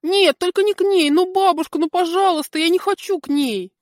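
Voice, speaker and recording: A woman's voice: very high-pitched, brisk, dry recording, studio quality, voice pushed up. A woman voicing an eager, naive boy of about six, telling a story with earnest, childlike wonder. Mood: pleading. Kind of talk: first-person storybook narration.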